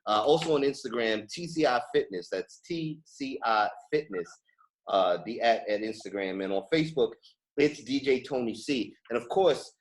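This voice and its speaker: A man's voice: medium pitch, lively intonation, moderate pace. A man hosting a casual podcast interview, effusive and slangy in the way he talks. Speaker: podcast host.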